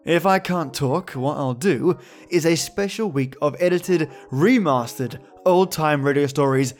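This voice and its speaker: A man's voice: fairly high pitch, measured pace. A man hosting a storytelling show, chatting casually to his listeners. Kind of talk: casual outro chat to listeners. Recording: music behind the voice. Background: music.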